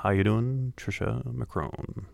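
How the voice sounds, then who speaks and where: A man with a low voice co-hosts a radio talk show. He chats casually and likes to tease in a wry way. The sound is dry, with little room in it. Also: steady tone, takes it slowly, gravelly, darkish tone, no echo. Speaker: radio talk show host and newspaper columnist.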